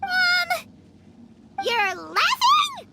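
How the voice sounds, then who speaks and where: A woman voicing a tiny, excitable, childlike flying companion in a video game, with a squeaky voice. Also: very slow, gravelly, heavy background noise, heavily acted. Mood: confused. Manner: excitable, exclamatory. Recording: loud game music behind.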